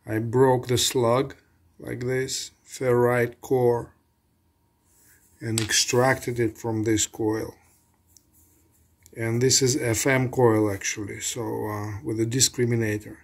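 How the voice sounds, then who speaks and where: Slow, slightly husky man narrating repairs. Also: medium pitch, level tone. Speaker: hobbyist radio restorer. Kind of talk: casual hands-on repair narration. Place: indoor home electronics workbench.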